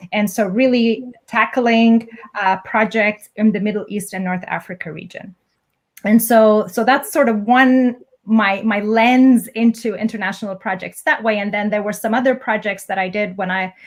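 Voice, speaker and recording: Female academic guest from Egypt, mid-range voice, articulate and explanatory. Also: medium pitch. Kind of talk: interview answers.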